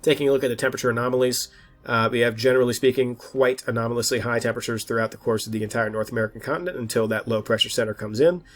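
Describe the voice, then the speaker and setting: A man's voice: medium pitch, steady tone, rapid-fire, soft background music. Congested man giving an informal weather forecast. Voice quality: slightly stuffed-up, congested. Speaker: weather forecaster and channel host.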